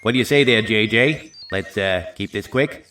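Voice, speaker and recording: A man's voice: fairly low pitch, moderate pace, bright, forceful tone. A man playing a folksy, distracted Secretary of Defense who talks in hesitant, offhand asides in an audio comedy. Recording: distant conference call, music underneath.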